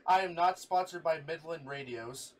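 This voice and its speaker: Male host, fairly high voice, informal chatty hobby presenter, faintly noisy recording. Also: normal speed. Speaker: video host showing a radio collection. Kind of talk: casual hobby showcase with friends.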